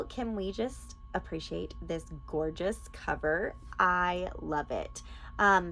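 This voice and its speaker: Woman, lowish creaky voice, informal and enthusiastic vlog delivery, faint background noise. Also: a bit bright, low-quality recording. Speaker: book reviewer and podcast host. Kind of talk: casual chatty book recommendation vlog.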